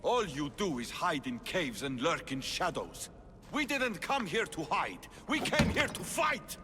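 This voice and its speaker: Thaletas, a hotheaded Spartan. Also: male voice, fairly high pitch, big pitch swings, a little slow, in a large hall, slight background noise, heavily acted. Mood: proud. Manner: proud, blunt, forceful. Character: Thaletas, male Spartan commander, proud and hotheaded. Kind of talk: video game cutscene acting.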